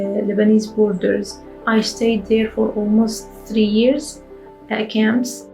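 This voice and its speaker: Slow, careful young woman from Syria. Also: mid-range voice, gravelly, rough recording. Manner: slow, careful speech with pauses. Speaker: student ambassador. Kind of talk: testimonial in a promotional video. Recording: soft guitar music behind.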